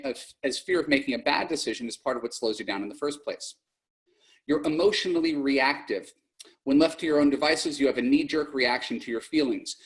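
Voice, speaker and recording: Blunt male dating coach host. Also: medium pitch. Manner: blunt, plain-spoken, self-correcting. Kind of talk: podcast monologue and call-in coaching.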